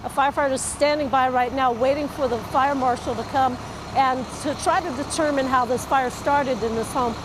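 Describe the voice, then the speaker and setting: High-voiced American woman reporting from noisy street. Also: fairly even intonation, moderate pace. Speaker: field news reporter. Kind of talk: live on-scene news report, partly ad-libbed. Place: outdoors in a residential neighborhood. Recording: noisy outdoor street with vehicle sounds.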